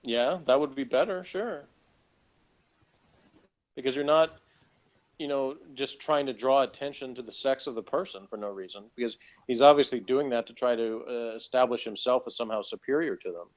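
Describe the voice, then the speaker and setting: A man with a medium-pitched voice talks casually, as in a review discussion. He argues in a halting way, often rewording himself. The recording is dull and lo-fi. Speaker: podcast co-host reviewing TV episodes. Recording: dull lo-fi audio.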